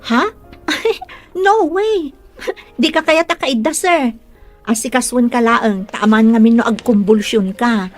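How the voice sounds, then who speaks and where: Teasing high-voiced Ilocano caregiver. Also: female voice, takes it slowly, faint music behind. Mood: teasing, playful. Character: teasing, cheerful young female caregiver, caring and frank. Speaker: radio drama actor. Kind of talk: Ilocano radio drama acting.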